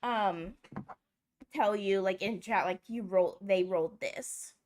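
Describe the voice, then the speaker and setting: A woman's voice: medium pitch, takes it slowly, a little hiss or hum. A woman hosting a game livestream, chatting casually and rambling with many "like" fillers. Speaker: livestream host. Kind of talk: casual chat while gaming.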